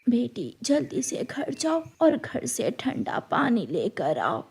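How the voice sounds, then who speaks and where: A woman voicing a kindly old mother bird in a cartoon story, speaking softly and gently with a breathy voice. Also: fairly high pitch, wildly swooping pitch, slow, heavily acted. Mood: motherly.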